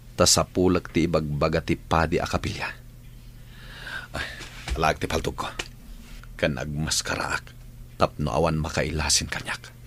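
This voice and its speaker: A man with an Ilocano accent playing the gruff, bossy leader of an armed village gang in a theatrical radio drama. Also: deep voice, a little hiss or hum.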